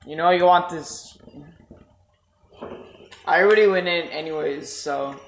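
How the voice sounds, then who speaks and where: A man's voice: fairly high pitch, unhurried, reverberant, slight background noise, low-quality recording. A teenage boy in casual voice chat while gaming, bossy and trash-talking. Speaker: gamer.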